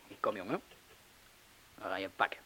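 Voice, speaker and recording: An actor playing a weary, irritable middle-aged man in a radio drama, speaking haltingly in broken-off phrases. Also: fairly low pitch, lively intonation, background noise, lightly acted. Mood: concentrated, muttering.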